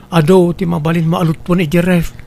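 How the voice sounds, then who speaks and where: A man's voice: high-pitched, little pitch movement, fairly quick, creaky, warm tone. A man with an Ilocano Filipino accent acting as an earnest, questioning young husband in a comedy drama.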